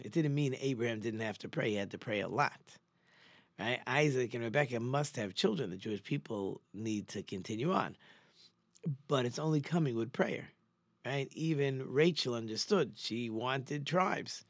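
A man's voice: medium pitch, animated, a little fast, dry recording. A man giving an informal religious lecture, conversational, with rhetorical questions and emphatic repetition. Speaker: religious teacher giving a Torah class.

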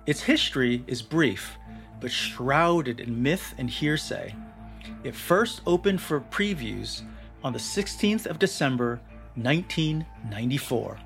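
A young man reading scripted documentary narration with a dramatic storytelling delivery. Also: medium pitch. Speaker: narrator of a feature article. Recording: music bed under the voice. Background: music.

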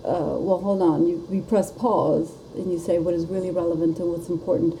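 A woman's voice: on the low side, fairly even intonation, fairly quick, dark tone, a little hiss or hum, poor audio. A woman hosting a live podcast chat, talking casually and laughing often. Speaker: podcast host.

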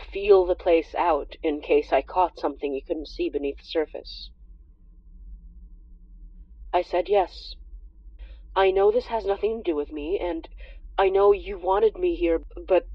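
A woman's voice: on the low side, no room sound, highs cut off. An actress playing a young Filipino immigrant woman who recounts horrors in a conversational, hesitant, wry way for an audio drama. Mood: uneasy, resolute. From Philippines. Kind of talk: audio drama first-person confessional narration.

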